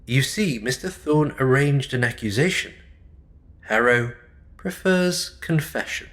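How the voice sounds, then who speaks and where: An actor playing a solemn, watchful manor caretaker in an audio drama, speaking in measured, ominous understatement. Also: male voice, medium pitch, lively intonation, a bit bright. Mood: cryptic, calm. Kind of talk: supernatural comedy audio drama acting.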